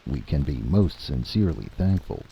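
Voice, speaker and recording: A man voicing a sober, conciliatory male committee chairman, speaking formally and deliberately in a dramatic story. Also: a bass voice, sing-song, gravelly, mellow, slight background noise, heavily acted, poor audio.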